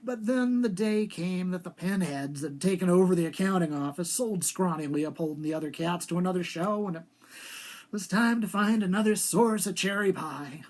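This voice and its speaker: A man's voice: sits high, moderate pace. A man speaking as an author at a live reading of short fiction, with an emphatic storytelling delivery. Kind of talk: live dramatic literary reading.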